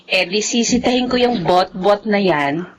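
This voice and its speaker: A woman with a Filipino accent playing a tender, soft-spoken single mother soothing a small child in a radio drama. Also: mid-range voice, lively intonation, moderate pace, lightly acted.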